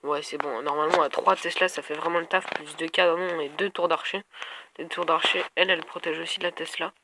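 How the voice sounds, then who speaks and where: A man's voice: a little high, little pitch movement, fairly quick, background noise. A man with a head cold giving casual gameplay commentary, thinking aloud and hesitating as he talks to his viewers. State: stuffed up with a cold. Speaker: gaming video creator.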